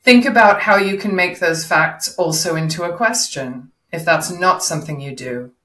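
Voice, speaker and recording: Female coach, middle-aged, slightly low register, explaining and questioning as an interview guest, recorded in a reverberant room. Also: moderate pace, fairly bright tone. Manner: instructive, poses rhetorical questions and examples. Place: indoor room, video call. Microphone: video call, no headset seen. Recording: echoey room over video call.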